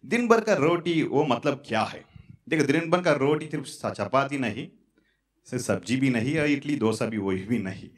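A man's voice: medium pitch, lively intonation. Man preaching into a handheld mic. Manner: halting, repetitive, fillers and restarts. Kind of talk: sermon with prayer. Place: church stage in front of curtains.

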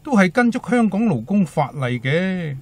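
A man's voice: sits high, very animated, moderate pace, no echo, exaggerated voice. An actor playing a talkative, timid male waiter with a Hong Kong accent and comic exaggeration in a radio drama. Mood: chirpy, deferential. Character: chatty, timid young male teahouse waiter, eager joker.

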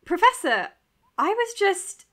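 A woman's voice: very high-pitched, very animated, slow, a bit bright, exaggerated voice. An actress playing a caring, tired woman doctor in a naturalistic audio drama, speaking earnestly and hesitantly. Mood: flustered. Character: anxious, caring, tired young female doctor. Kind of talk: naturalistic audio drama, confessional podcast narration.

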